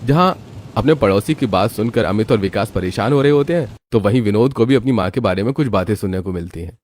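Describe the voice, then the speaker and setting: A man's voice: on the low side, animated, fast, a little mellow. A man reading scripted story narration as the storyteller.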